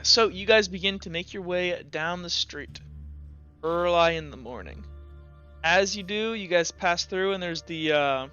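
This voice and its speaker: A man's voice: high-pitched, unhurried, bright, forceful tone, smooth, dull audio, faint music behind. A man as game master, narrating a tabletop game in a chatty, informal, loosely improvised way.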